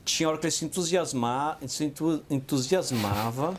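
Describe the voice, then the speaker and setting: Brazilian man, animated storyteller, fairly high voice, casual podcast chat. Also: moderate pace, a little hiss or hum. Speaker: podcast co-host.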